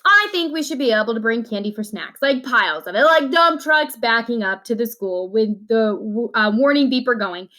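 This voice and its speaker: Lady reading as eager little school boy from a storybook, highish voice, pushy and rambly. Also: lively intonation, normal speed, hardly any pauses, pushed and bright. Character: eager grade-school boy pitching wild ideas. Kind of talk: storybook read-aloud.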